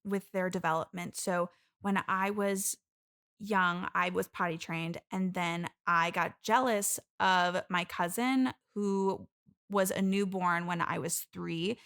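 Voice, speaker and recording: A woman's voice: fairly low pitch, fairly even intonation, slow, creaky, fairly bright tone, full character voice. A young woman playing an eager, earnest bridesmaid in an improvised comedy interview, chatty and rambling. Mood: sincere, confiding. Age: young adult. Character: eager, earnest expert bridesmaid desperate for friends. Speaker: podcast guest.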